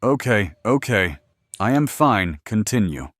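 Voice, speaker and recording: Male co-host for scripted horror. Low register, very expressive pitch, rattled disbelief, close dry recording. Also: unhurried, no room sound. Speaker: podcast co-host. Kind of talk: scripted reactions to a horror story.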